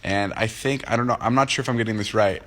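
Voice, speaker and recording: A man's voice: on the low side, quick pace, fairly bright tone. A young man in his twenties, just woken up and groggy, chatting casually on a phone livestream from home, rambling and joking as he answers comments. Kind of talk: casual livestream chat to viewers. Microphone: phone livestream app.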